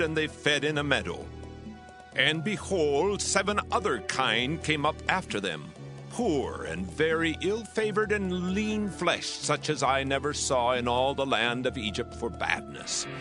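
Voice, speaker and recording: An actor playing a commanding, troubled king of ancient Egypt in a dramatized scripture reading, grand and deliberate, with music behind him. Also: medium pitch.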